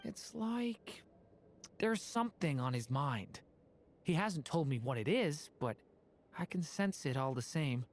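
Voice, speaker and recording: A woman voicing a gentle, earnest young male dragon in human form for a video game, speaking softly, thoughtfully and sincerely. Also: low voice, animated, unhurried, exaggerated voice. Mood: pensive. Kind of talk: English game voice acting.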